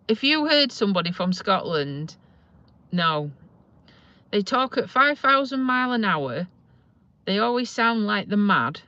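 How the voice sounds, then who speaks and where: A woman's voice: mid-range voice, a bit bright, no room sound, lo-fi sound. A woman from Lincolnshire with a slight Yorkshire accent, chatting casually and rambling to viewers on a live stream from a parked car. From Lincolnshire, England. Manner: chatty, rambling, self-deprecating. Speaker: vlogger doing a live stream.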